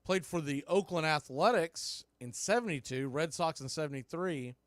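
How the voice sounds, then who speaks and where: A man's voice: on the high side, normal speed, no room sound. A man calling baseball play-by-play on a streamed radio show, conversational and rising on big plays. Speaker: play-by-play announcer.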